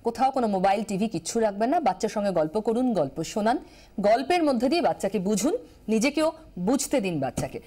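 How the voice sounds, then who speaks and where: A woman with an Indian Bengali accent hosting a live TV talk show, brisk, stepping in and summing up. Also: medium pitch, animated, mellow. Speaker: television host.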